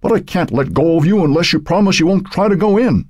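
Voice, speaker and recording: Male narrator as dogged firefighter in a novel, firm and insistent, husky, very dry close sound. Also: low-pitched, animated, moderate pace, dry recording. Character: dogged, firm fireman handling a distressed resident.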